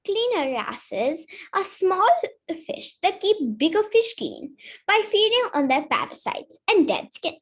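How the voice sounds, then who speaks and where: A woman with a high-pitched voice reads a picture book aloud for children. Her intonation moves up and down in a lively way. She reads carefully and sometimes stumbles over a word. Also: takes it slowly. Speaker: story reader.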